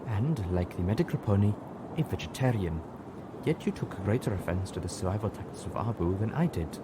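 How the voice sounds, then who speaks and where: Guy reading as a calm wise zebra lady, soft and measured, low pitched, noisy audio. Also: natural voice. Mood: quietly reproachful. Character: calm, sage female zebra, softly reproachful.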